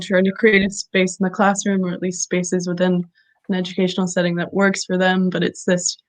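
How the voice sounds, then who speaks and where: Female host, lowish flat delivery, hesitant questions full of pauses. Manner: hesitant, many "um"s and restarts. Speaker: interview host, parent project lead. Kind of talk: conversational interview.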